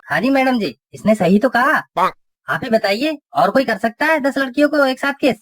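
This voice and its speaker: A woman's voice: high-pitched, lively intonation, normal speed, slight background noise. A woman voicing a grumbling, flirtatious husband and father in a cartoon comedy. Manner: bickering at family, smooth-talking.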